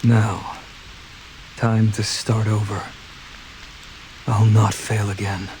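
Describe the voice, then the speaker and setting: An actor playing an unhinged, sneering male game villain with a theatrical, drawn-out, mocking delivery. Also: lowish voice, little pitch movement, background noise. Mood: bitter, scornful. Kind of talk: dramatic video game voice acting. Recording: loud music behind the voice.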